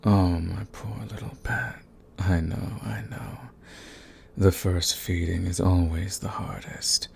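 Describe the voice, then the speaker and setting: A man voicing a dominant, possessive, seductive vampire in an intimate ASMR roleplay, coaxing and condescending, at times in a breathy whisper. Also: deep voice, fairly even intonation, slow, a little mellow, a little hiss or hum, heavily acted. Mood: mock tender.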